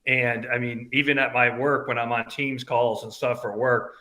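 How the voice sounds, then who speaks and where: A man in his fifties from upstate New York, chatting casually as a podcast guest in a loud voice that projects. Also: medium pitch, little pitch movement, normal speed. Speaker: guest, concert fan.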